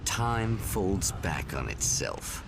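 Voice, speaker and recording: A man playing the grave, portentous narrator of an eerie anthology TV show in a game, gravelly and deliberate. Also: low voice, very animated, very noisy, full character voice. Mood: grave, ominous. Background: music.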